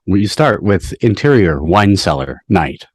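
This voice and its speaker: A man's voice: sits low, very animated, a little slow, husky, no echo. A man reading scripted stage directions as the narrator of a table read. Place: online video call. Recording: dry video-call audio.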